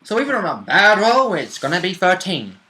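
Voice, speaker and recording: A man's voice: high voice, sing-song, brisk, sharp tone, rough recording. A man chatting casually as a tabletop roleplaying player, agreeably chiming in with short confirmations and comments. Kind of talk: casual chat recapping a tabletop roleplaying game.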